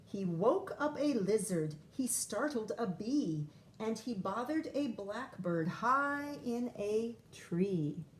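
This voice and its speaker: A woman's voice: on the high side, lively intonation, takes it slowly, slight background noise. A woman first-grade teacher reading a picture book aloud to young children, expressively drawing out lines and adding chatty asides.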